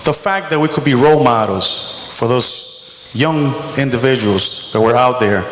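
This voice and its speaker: A middle-aged man giving a measured, earnest opening statement as a mayoral candidate at a school debate. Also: medium pitch, a little hiss or hum. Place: school auditorium. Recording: roomy hall with long reverb.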